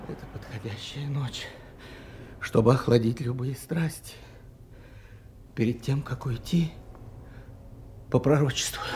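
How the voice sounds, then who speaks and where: An actor playing a wry, impish court fool, reciting rhymes in a sing-song theatrical manner for a radio drama. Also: male voice, a little high, a little mellow, slight background noise.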